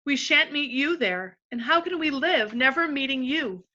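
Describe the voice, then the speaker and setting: A woman voicing an eager young girl who pleads urgently and breathlessly, higher and louder than her own voice. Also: lively intonation, moderate pace, highs cut off. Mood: pleading.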